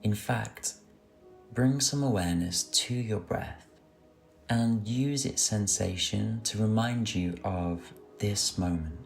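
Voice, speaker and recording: A man guides a meditation in a low voice with a creaky edge. He speaks very slowly and soothingly, giving gentle instructions. Soft music plays underneath his voice.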